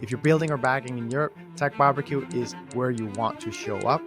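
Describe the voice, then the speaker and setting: A man's voice: mid-range voice, over music. A young man with a Danish accent hosting a podcast, chatting casually and reading sponsor spots in an upbeat, jokey way. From Denmark. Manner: upbeat, jokey, fast-talking. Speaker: podcast host.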